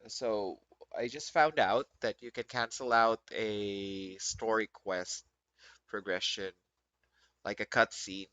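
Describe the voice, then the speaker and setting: Bright-voiced man with low pitch, muffled mic. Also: fairly even intonation, slow pace. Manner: rambling, repeats and rephrases himself. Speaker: livestreamer playing a video game. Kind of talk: casual live stream chat. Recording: muffled lo-fi mic, faint music behind.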